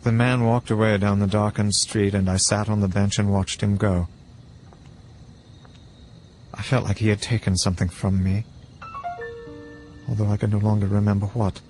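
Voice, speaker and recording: Music plays under a low male voice. A man acts as a weary, brooding storyteller, narrating a hardboiled tale in a measured, reflective manner. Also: natural voice, low-quality recording.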